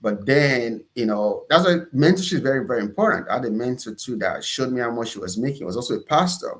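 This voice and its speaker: A man with a Nigerian accent and a medium-pitched voice talks as a guest in an interview. He tells his personal story conversationally, leaning on key words and repeating them for emphasis. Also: animated, quick pace, rough recording. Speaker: interview guest, entrepreneur and pastor.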